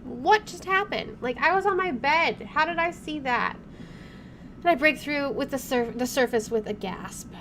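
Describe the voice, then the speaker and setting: A woman hosting a let's play, reading game narration aloud and adding casual, expressive commentary. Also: sits high, normal speed. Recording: clearly audible background noise.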